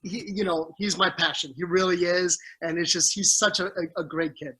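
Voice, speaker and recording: A middle-aged man from the Caribbean with a high, bright voice and a husky edge, answering questions over a video call. He talks earnestly, restarting sentences and leaning on fillers as he explains his work. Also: fairly even intonation, normal speed. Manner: earnest, warm toward family, speaks with restarts and fillers. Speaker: family law judge.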